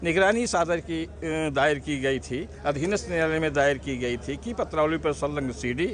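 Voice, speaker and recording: A man's voice: a little high, normal speed. A man with a north Indian accent giving a press statement as a lawyer in formal, legalistic wording. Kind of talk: press statement on a court ruling. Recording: faint outdoor ambient noise.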